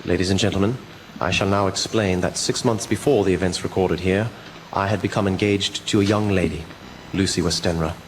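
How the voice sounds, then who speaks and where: Guy as earnest doctor narrating a radio play, very low formal voice, noisy recording. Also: fairly even intonation, brisk, lightly acted. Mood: formal, sombre. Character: earnest doctor recounting his fiancee's mysterious illness. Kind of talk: scripted radio drama narration.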